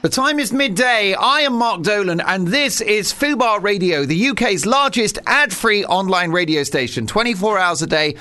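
A man's voice: high-pitched, animated, normal speed, hardly any pauses, pushed and bright. A man hosting a talk radio show in a studio, brash, jokey and teasing with his guests. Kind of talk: chatty talk radio presenting.

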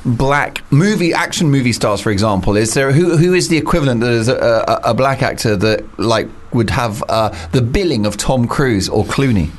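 Male talk show host, animated and emphatic, steering the panel. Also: mid-range voice, normal speed, few pauses. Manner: animated, emphatic, rises on key words.

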